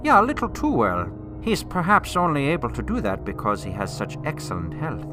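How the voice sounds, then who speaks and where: A sly tempter from the dark side in a biblical radio play, acted by a man. The delivery is insinuating and needling, the manner of a scheming servant of evil. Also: mid-range voice, huge pitch swings, fairly quick, over music. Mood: sly, goading. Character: sly male tempter spirit serving a dark master. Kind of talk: radio drama acting.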